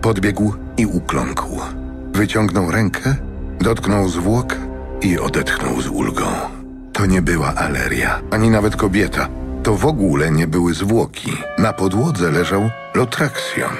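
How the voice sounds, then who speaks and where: Male narrator for fantasy audio drama, very low-pitched, music underneath. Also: expressive, a little slow.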